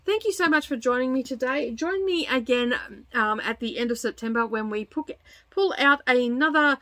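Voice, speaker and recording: A woman's voice: high voice, normal speed, bright, forceful tone, no echo. A middle-aged woman hosting a book channel, talking casually and chattily to her viewers. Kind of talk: casual chatty vlog presenting.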